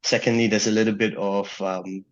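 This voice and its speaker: A man's voice: lowish voice, little pitch movement, normal speed, muffled recording. A man from Singapore in his thirties, a guest telling his story in a casual interview, full of restarts and fillers. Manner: rambling with many restarts and fillers.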